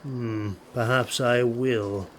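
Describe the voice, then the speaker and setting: Proud, cruel dark elf highborn for a fantasy audiobook, played by a man: sardonic, muttering, unhurried. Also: steady tone, a little hiss or hum, lightly acted. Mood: wry. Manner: sardonic, slow muttering delivery.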